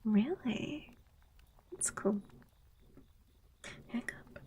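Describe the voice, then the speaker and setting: Slow husky whispering woman. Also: fairly high pitch. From United States. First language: Spanish. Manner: soft whispering, reading chat aloud, giggly. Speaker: VTuber streamer. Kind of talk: whispered ASMR stream chat.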